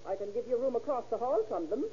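A woman's voice: a little high, animated, very fast, warm tone, lightly acted. An actress playing a grumpy, hard-bargaining landlady with a scolding, folksy manner in a 1930s radio drama. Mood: grumbling, suspicious. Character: grumpy, hard-bargaining rooming-house landlady, woken from sleep. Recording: muffled, noisy old radio recording.

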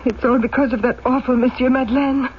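A woman's voice: high voice, steady tone, quick pace, natural voice. An actress playing a poor young widowed mother, defiant and pleading, in an old-time radio drama. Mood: pleading, desperate. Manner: plaintive, indignant. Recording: dull, noisy old radio recording.

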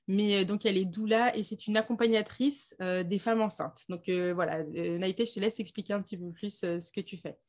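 A woman's voice: medium pitch, fairly even intonation, fairly quick, few pauses, darkish tone. A woman hosting a casual interview, asking questions hesitantly with frequent fillers. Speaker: interview host.

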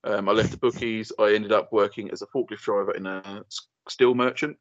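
A man's voice: lowish voice, steady tone, brisk, no room sound. A young man co-hosting a podcast, telling rambling, sweary stories and laughing often. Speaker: podcast co-host. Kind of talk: casual banter. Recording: dull lo-fi dry sound.

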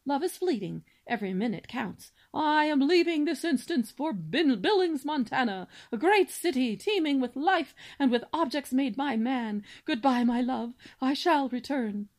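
A woman's voice: sits high, lively intonation, moderate pace, few pauses, voice pushed up. A woman voicing a pompous, flowery male swan who declaims grandly. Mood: grandiose.

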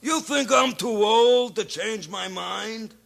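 An actor playing a gruff, stubborn American cynic with a gravelly, raspy voice and slangy speech in a comic police drama parody. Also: male voice, sits high, slow pace, fairly bright tone, heavily acted. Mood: moved, excited. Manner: slangy, double negatives, stubborn. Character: gruff grudging male cynic who believes in nothing.

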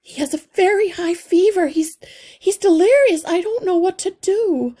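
A woman's voice: extremely high pitch, moderate pace, mellow, voice pushed up. A woman voicing an anxious, polite mother mouse who speaks in an earnest, worried way for a children's storybook. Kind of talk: children's storybook read-aloud.

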